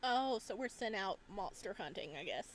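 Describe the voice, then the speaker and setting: A woman hosting a gaming video, giving casual, playful and expressive let's play commentary as she reacts aloud. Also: mid-range voice, moderate pace.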